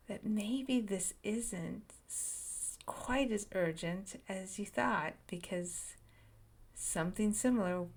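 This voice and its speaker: A woman leading a guided meditation, speaking softly in short phrases with long pauses and frequent whispered words. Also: mid-range voice. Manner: slow, soft and soothing, drifting into whispers.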